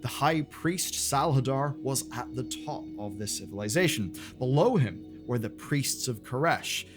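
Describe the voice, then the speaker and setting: A man's voice: medium pitch, expressive, a little slow. A man hosting a gaming video, reading scripted narration in a conversational, slangy way with casual asides. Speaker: host of a gaming lore video channel. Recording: music playing behind the voice. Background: music.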